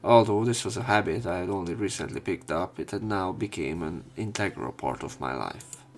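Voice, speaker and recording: A man's voice: deep voice, normal speed. A man hosting a let's play, narrating a visual novel aloud and adding casual commentary.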